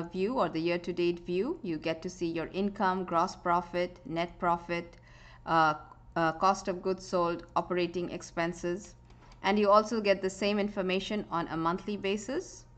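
A woman giving a screen-recorded spreadsheet tutorial, explaining step by step in a calm voice with frequent "uh" fillers. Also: medium pitch. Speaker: software tutorial presenter.

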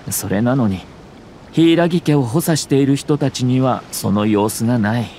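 A man's voice: mid-range voice, normal speed, mellow, a little hiss or hum, close to an everyday voice. An actor playing a shrewd young nobleman in a video game, speaking in a polite, composed, formal manner. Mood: measured, analytical. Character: courteous, shrewd young nobleman and clan head. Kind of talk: video game character dialogue.

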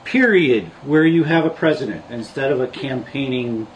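A man's voice: medium pitch, normal speed, highs cut off. A man co-hosting a casual political podcast, prompting the others with dry questions. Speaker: podcast co-host. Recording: distant mic with faint hiss.

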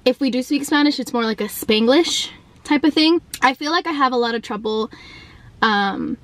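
A woman's voice: high voice, normal speed, dry recording. A woman in her twenties, from the United States, chatting casually to the camera in a vlog with lots of ums and likes, recorded from arm's length in a parked car. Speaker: vlogger. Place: parked car, indoors at first. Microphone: camera held at arm's length.

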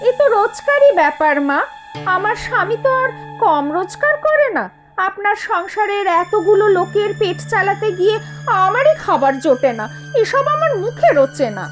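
A woman voicing a spoiled, sharp-tongued young daughter-in-law from a rich family in a cartoon, scornful, complaining and demanding. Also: extremely high pitch, sing-song, moderate pace. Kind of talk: Bengali cartoon voice acting. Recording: dull lo-fi audio with music behind.

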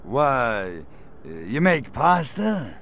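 A man's voice: mid-range voice, very animated, drawn-out words, a bit bright, slight background noise, heavily acted. An actor voicing a brash, flirty male grey alien invader in a video game cutscene, cracking jokes in slangy lines. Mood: wisecracking. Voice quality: gravelly, slangy wisecracking delivery.

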